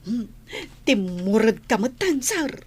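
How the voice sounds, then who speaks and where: A woman's voice: on the high side, big pitch swings, slow pace, breathy edge, exaggerated voice. A woman playing a cheerful, sympathetic maid, deferential and chatty, in a radio drama with an Ilocano accent. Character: cheerful, sympathetic household maid addressing her master.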